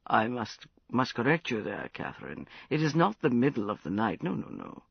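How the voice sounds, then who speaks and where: Man voicing a drunk, sad prince, slurred and pleading, very slow, gravelly, dull lo-fi sound. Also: sits low, huge pitch swings, dry recording, heavily acted. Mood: insistent. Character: drunk, lovesick, melancholy male prince. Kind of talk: audiobook character acting. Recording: dull lo-fi recording.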